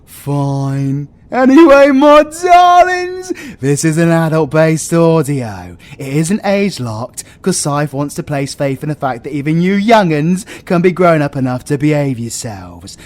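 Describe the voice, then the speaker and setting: A man's voice: a little high, sing-song, slow, darkish tone. Man playing a cocky, swooping British villain. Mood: brash, bossy. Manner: sweary, ranting, sarcastic, theatrical. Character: foul-mouthed, cocky British male supervillain, petulant and sarcastic. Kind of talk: comedic audio roleplay monologue.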